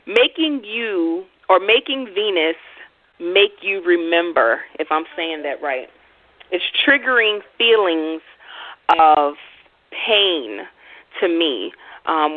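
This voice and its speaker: Young woman chatting over a tinny phone line. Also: lowish voice. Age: young adult. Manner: hesitant, many ums, laughs easily. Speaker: astrology talk show co-host. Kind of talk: casual chat.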